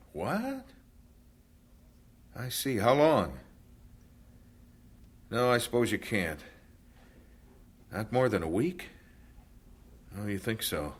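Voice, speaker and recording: A man's voice: mid-range voice, a bit bright, full character voice. A man with an American accent playing an earnest missionary husband and father in an old radio drama.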